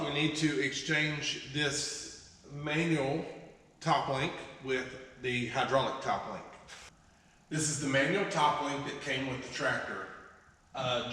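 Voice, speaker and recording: A man's voice: medium pitch, sharp tone, a little hiss or hum. A man talks his way through a farm chore outdoors, rambling with plenty of fillers while getting over a head cold. His voice comes from well away from the microphone, wrapped in heavy echo and a long reverberant tail. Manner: rambling, many filler words. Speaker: farm vlogger. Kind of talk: casual how-to vlog. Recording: distant, echoey outdoor camera audio.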